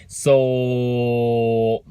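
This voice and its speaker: A man's voice: medium pitch, monotone, warm tone, smooth, no echo. A man from Japan with a Japanese accent, giving an unscripted motivational talk as a business mentor, full of fillers and "right?" checks. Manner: halting, heavy fillers, frequent "right?" checks.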